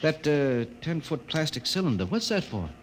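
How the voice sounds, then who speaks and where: An actor playing a skeptical reporter who talks plainly and keeps asking questions, in a 1950s radio drama. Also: male voice, on the high side, very animated, normal speed, slight background noise. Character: blunt American male reporter, skeptical.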